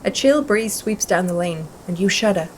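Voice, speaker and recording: A woman hosting a gaming video, reading the story aloud and commenting in a casual, expressive manner. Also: medium pitch, normal speed, a little hiss or hum, poor audio. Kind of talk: playthrough commentary and read-aloud narration. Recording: music behind the voice. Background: music.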